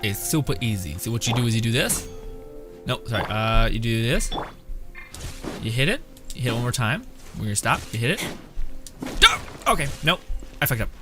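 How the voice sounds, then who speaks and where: A young man gives casual live commentary on a game. His voice is average in pitch but swings around a lot, and his talk is informal, rambling and sweary. Game music plays behind him. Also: expressive, brisk, long pauses. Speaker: game streamer.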